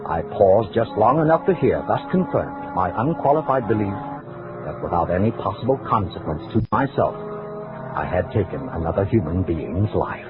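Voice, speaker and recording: A man's voice: fairly low pitch, big pitch swings, normal speed. An actor playing a cold, precise, increasingly tormented scholar who narrates his murder journal in formal, self-important diction in an old radio drama. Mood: coldly clinical. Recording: vintage radio, narrow band, music behind.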